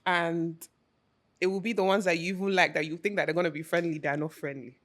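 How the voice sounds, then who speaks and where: A woman's voice: lowish voice, little pitch movement, fast talker. Emphatic young London woman chatting. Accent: London with Nigerian slang. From United Kingdom. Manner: emphatic, repeats points for stress. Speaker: podcast co-host. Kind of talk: casual podcast chat.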